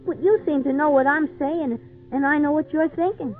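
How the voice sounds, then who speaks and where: A woman's voice: high-pitched, normal speed, faint music behind, lightly acted. A woman playing an earnest, polite, folksy little boy in an old-time radio drama. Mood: friendly, wondering. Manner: folksy, wide-eyed, hesitant. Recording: tinny band-limited 1940s radio recording.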